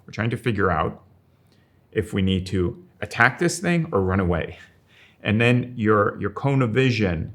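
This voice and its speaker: An older man, a strategic designer from Finland who grew up in Rome, speaks in a thoughtful, measured way and slows down to stress key words. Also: sits low, creaky. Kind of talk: reflective interview monologue.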